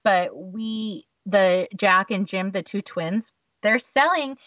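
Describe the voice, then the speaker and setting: A woman's voice: medium pitch, slow pace, a bit bright, no room sound. A woman co-hosting a podcast, chatty and agreeable as she tells stories. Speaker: podcast co-host. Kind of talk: casual chat. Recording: dull, distant mic.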